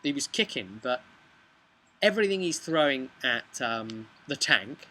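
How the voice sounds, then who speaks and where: A young man casually recapping a tabletop game as game master, halting at times and emphatic, recorded outdoors. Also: mid-range voice, big pitch swings, pushed and bright. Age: young adult. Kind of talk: casual vlog storytelling. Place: outdoors on a bench by a brick house. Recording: outdoor webcam with background noise.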